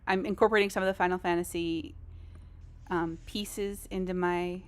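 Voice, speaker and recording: A woman's voice: fairly low pitch, steady tone, normal speed. A woman live streamer chatting casually over a game, in a rambling, informal and self-deprecating way. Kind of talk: casual gaming stream chat.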